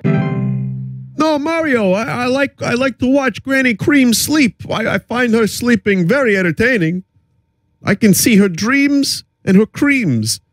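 An excitable cartoon plumber with a voice pushed very high. He stammers and gushes in a creepy, comic way, improvised over background music. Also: takes it slowly, no room sound, full character voice. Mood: creepy, gleeful. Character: excitable squeaky Mario-brother plumber, creepily fond of watching sleepers.

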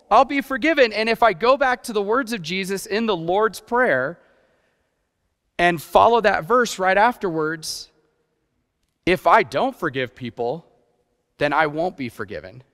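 Middle aged guy preaching, kinda high voice, chatty and self-deprecating, big echoey church. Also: normal speed, fairly bright tone. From grew up partly in Japan. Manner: self-deprecating, conversational, rhetorical questions. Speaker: guest preacher, youth pastor. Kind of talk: informal sermon. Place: church stage, indoors. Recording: reverberant hall sound.

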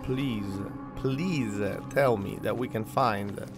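A man's voice: lowish voice, slow, music in the background. A young man from Poland whose first language is Polish, rambling casually with fillers as he commentates a video game on a headset mic. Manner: chatty, rambling, lots of fillers. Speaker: gaming channel host.

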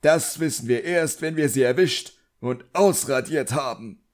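Casting: pompous, irate middle-aged wizard bureaucrat for an audiobook, raised pitch, barking contempt, performed by a male narrator. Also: a little slow, close to an everyday voice. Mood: irate, dismissive.

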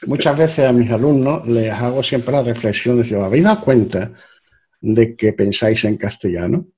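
An older man hosts a video-call interview through his webcam. His voice is mellow and a little husky. He reasons aloud in a relaxed way and keeps checking in with his guest. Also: mid-range voice, moderate pace. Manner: reflective, ends points with "¿no?". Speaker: host, interviewer. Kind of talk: casual conversational interview.

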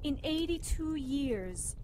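Actress as high-voiced, defiant woman doctor. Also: animated, slow, dark tone, a little hiss or hum, poor audio. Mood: challenging. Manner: challenging, assertive. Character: defiant, sharp-tongued female doctor in love, narrating. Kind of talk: radio drama acting and narration.

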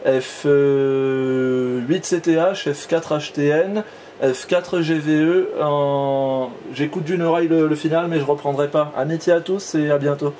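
A man's voice: on the high side, steady tone, a little slow, background noise. A man chatting casually as an amateur radio operator, hesitantly, often repeating and trailing off in his phrases. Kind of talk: casual radio contact chat.

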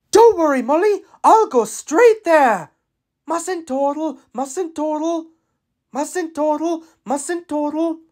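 A man's voice: very high-pitched, a little mellow, exaggerated voice. A man voicing a dreamy, eager cartoon skip lorry that rambles and repeats itself.

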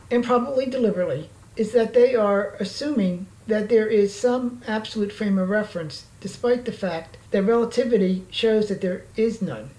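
A woman narrating a scripted slide lecture in a formal, measured reading manner. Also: mid-range voice, warm tone, low-quality recording.

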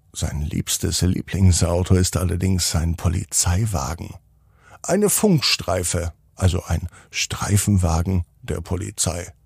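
A man hosting a children's podcast and narrating bedtime stories, speaking directly to young listeners in simple, unhurried phrases. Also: deep voice, gravelly, a little mellow, slightly rough, no room sound, clean studio sound. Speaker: podcast host and bedtime storyteller.

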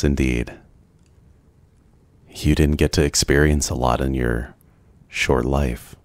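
Very deep, slow-talking brooding male vampire. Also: no room sound. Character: brooding, lonely, ancient male vampire, gentle and courteous. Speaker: roleplay voice performer. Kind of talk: ASMR boyfriend roleplay, scripted.

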